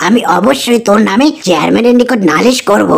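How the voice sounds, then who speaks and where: A woman's voice: mid-range voice, fast, creaky. A woman voicing a shrewish cartoon tigress mother, indignant, self-important and scheming. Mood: haughty indignation.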